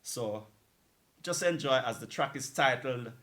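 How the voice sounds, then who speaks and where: A man's voice: mid-range voice, expressive, unhurried, very noisy. A man hosting a music show, chatting casually and in a rambling, anecdotal way as he introduces tracks. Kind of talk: casual radio-style talk introducing tracks.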